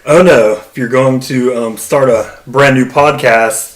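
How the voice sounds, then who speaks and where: A man's voice: mid-range voice, fairly even intonation, a little slow, low-quality recording. A man on a podcast panel, tossing in wisecracks during casual chat.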